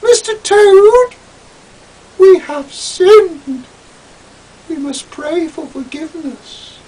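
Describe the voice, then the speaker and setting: A man's voice: expressive, extremely slow, darkish tone, distant mic, heavily acted, rough recording. A man voicing a snooty, effeminate young man who speaks in a prim, disdainful, girlish tone. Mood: pious. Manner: prissy, disdainful, high and girlish.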